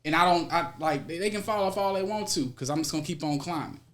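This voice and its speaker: A young American man with a slightly high voice talks in a casual, slangy way. He is a guest in conversation and speaks emphatically and expressively. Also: animated, fast talker, fairly bright tone. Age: young adult.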